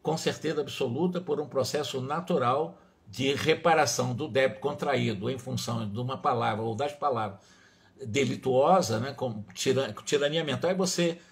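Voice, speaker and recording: A man's voice: mid-range voice, moderate pace. A Brazilian man with a mid-range voice delivers a religious study talk. He speaks as a lecturer, didactic and emphatic, moving between reading and explanation. He often repeats and rephrases his points. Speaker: spiritist lecturer.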